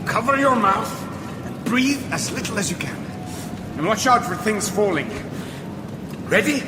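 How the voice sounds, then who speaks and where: Brave young medieval rescuer, high-strung, echoing. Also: male voice, animated, a little slow, noisy background. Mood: tense, encouraging. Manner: urgent, commanding, encouraging. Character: brave, commanding young male rescuer, loyal to the king. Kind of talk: broadcast radio drama acting.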